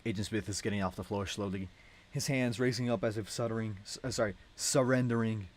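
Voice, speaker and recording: A man's voice: fairly low pitch, steady tone, brisk, slight background noise. Young guy doing a loose read-along of a script, informal and kinda amused, keeps cutting himself off. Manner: informal, self-interrupting, amused. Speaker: narrator reading a script.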